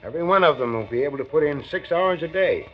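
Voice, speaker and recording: Eager American working man played by an actor, higher-pitched. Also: expressive, fast, lightly acted. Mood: earnest, eager. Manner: hearty, eager, emphatic. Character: eager, plucky working man with a weak heart. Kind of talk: 1940s radio drama acting. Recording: dull, noisy 1940s radio recording.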